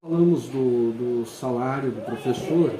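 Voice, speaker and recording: A man, a school principal answering interview questions and often pausing to search for words. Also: mid-range voice, noisy background. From southern Brazil. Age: 40s. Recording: echoey room.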